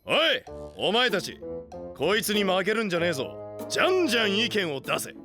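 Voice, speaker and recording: A brash, ambitious knight boss from an anime drama. His rough delinquent talk swings widely in pitch, a little high for a man, with a soft heart under the bluster. Music plays clearly behind him. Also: very animated, brisk. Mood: brash, approving. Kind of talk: Japanese anime voice drama acting. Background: background music.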